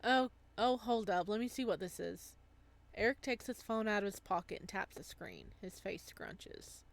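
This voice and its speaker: A woman's voice: medium pitch, expressive, normal speed, creaky. A woman reading a visual novel aloud as a let's play, gushing and gasping in her reactions.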